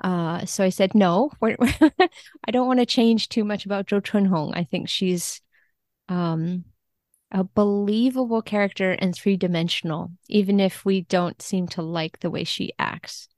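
A woman's voice: medium pitch, unhurried, a little mellow, no room sound, clean studio sound. A woman speaking as a podcast guest in a casual interview, enthusiastic and informal about her work as a literary translator.

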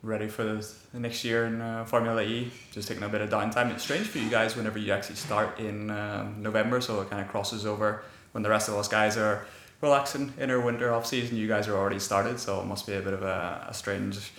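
Irish guy hosting a podcast, low voice, pretty flat, roomy echo. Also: fast talker, hardly any pauses, a little hiss or hum. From Ireland. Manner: chatty, informal. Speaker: podcast host. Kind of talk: casual interview.

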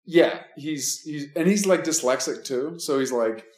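A man's voice: fairly high pitch, measured pace, roomy sound. A man in casual conversation, speaking loosely with many filler words. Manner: relaxed, lots of "like" fillers.

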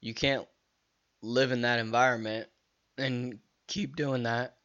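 A man's voice: medium pitch, steady tone, takes it slowly, a bit bright, dull audio. A man hosting a casual solo podcast, rambling with many restarts and fillers while he is losing his voice. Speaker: podcast host.

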